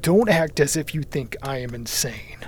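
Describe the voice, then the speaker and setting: A man's voice: medium pitch, expressive, moderate pace, a little mellow, slightly rough. A man voicing a deluded, grandiose, angry young man with emphatic, commanding declamation in an audiobook. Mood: furious. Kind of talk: audiobook character acting.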